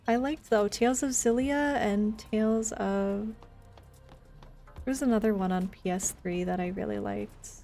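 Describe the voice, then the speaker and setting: A woman's voice: mid-range voice, fairly even intonation, measured pace, gravelly, dark tone, faint music behind. A woman live streaming a video game, chatting casually and answering her viewers as she plays. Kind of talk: casual live stream chat while playing. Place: indoors, live game stream with music behind.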